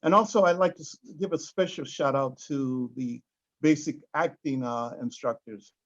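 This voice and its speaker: A man giving hesitant, halting opening announcements as an event coordinator over a lo-fi video call. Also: medium pitch. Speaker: workshop coordinator introducing the event. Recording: dull lo-fi video call audio.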